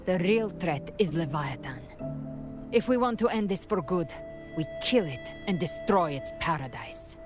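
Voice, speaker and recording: A resolute, analytical woman from a video game. Her voice is very low and she explains things with serious composure. There is clear music in the background. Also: lively intonation, a little slow. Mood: grave, resolute. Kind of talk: dramatic video game voice acting.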